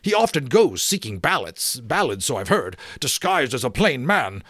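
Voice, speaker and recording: A man's voice: mid-range voice, very animated, moderate pace, fairly bright tone, no echo, studio quality, voice pushed up. A man voicing a vain, wistful outlaw captain. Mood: eager.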